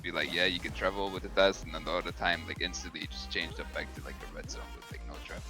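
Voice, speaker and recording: A man's voice: deep voice, steady tone, fast talker, music in the background. A young man from the Netherlands, a viewer on voice chat during a game, easygoing and laughing, with casual swearing. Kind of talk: casual chat while gaming.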